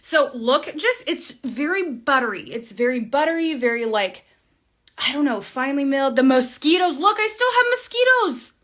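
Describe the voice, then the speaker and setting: Bubbly bright-voiced woman doing makeup live. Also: high-pitched, very animated, moderate pace. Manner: chatty, bubbly, talks while applying makeup. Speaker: makeup livestream host. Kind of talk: casual livestream makeup tutorial. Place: small indoor room at home. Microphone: phone camera at arm's length.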